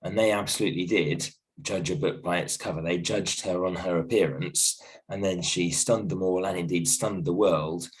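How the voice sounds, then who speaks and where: A male teacher with a croaky voice from illness, giving a gentle, reassuring video lesson from home with many ums and restarts. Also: sits low, steady tone, moderate pace, rough recording. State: croaky voice from a cold, coughing. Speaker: primary school teacher. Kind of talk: recorded video lesson for a class.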